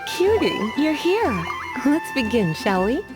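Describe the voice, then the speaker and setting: A woman's voice: high-pitched, big pitch swings, takes it slowly, exaggerated voice. A woman voicing a languid, teasing female librarian-sorceress in a video game, drawling her lines and sighing wearily. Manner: drawling, sultry, weary sighs. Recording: game music underneath.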